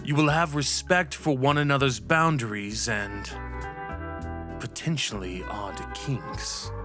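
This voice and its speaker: A man's voice: mid-range voice, takes it slowly, music in the background, close to an everyday voice. A man playing a stern, wry, pompous male professor in a fantasy audio roleplay, lecturing in a haughty, theatrical way. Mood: exasperated, dry. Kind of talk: ASMR roleplay acting.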